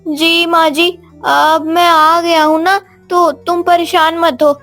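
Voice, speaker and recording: Woman as a high-voiced pleading young mermaid. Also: level tone, slow pace, a bit bright, soft background music, exaggerated voice. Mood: reassuring. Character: pleading, stubborn young female mermaid posing as a boy.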